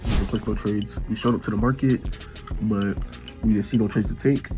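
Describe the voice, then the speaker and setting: A young man vlogging about his day trading in a relaxed, slangy, rambling way, full of fillers. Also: lowish voice, moderate pace, music in the background, poor audio. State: congested with a sore throat. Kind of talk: casual vlog chat.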